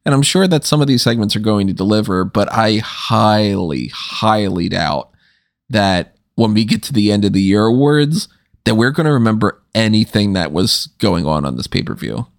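A man co-hosting a casual podcast chat, talking with lively swoops up in pitch. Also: normal speed, vocal fry, no echo, studio quality. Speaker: podcast co-host.

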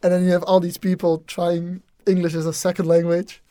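A woman's voice: on the low side, little pitch movement, brisk, mellow, no echo. A young woman with a Dutch accent, a native Dutch speaker, talking casually and thoughtfully on a student podcast with frequent hesitations. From Netherlands. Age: young adult. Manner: thoughtful, hesitant, many "um"s and restarts. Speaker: international exchange student.

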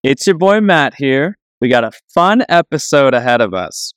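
Young male podcast host, mid-pitched and clean-voiced, casual and exclamatory. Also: big pitch swings, normal speed, clear, resonant tone, no echo, studio quality. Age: young adult. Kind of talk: upbeat casual interview.

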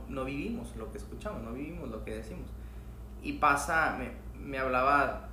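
A man's voice: mid-range voice, sharp tone, echoey room, a little hiss or hum. A man from Mexico with a Mexican accent, sharing his faith in an earnest, reflective chat, often restarting his sentences. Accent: Mexican Spanish. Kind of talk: casual faith talk.

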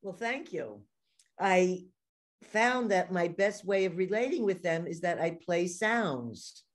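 Podcast host, woman, New York accent, lowish chatty voice. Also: expressive, takes it slowly. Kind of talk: casual interview conversation.

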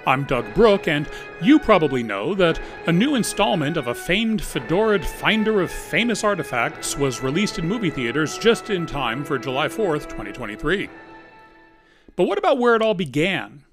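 A man narrates a scripted comedy piece in a slightly high voice, with very lively swings of pitch. Light music plays behind him. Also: normal speed. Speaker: humor column narrator.

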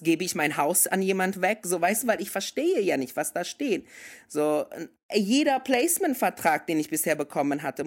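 A man in his thirties, a native German speaker, chatting casually and slipping into English phrases. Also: fairly high pitch. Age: 30s. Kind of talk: casual conversation.

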